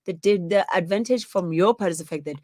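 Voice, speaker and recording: A woman in her forties from South Africa co-hosting a casual live-stream chat, teasing and animated as she tells stories. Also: on the low side, fairly quick, no room sound, studio quality. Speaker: co-host.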